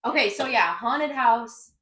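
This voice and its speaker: A woman of twenty-five co-hosting a podcast, chatting casually with friends, animated and quick to swear and laugh. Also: a little high. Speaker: podcast co-host. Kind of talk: casual chat among friends. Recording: roomy echo.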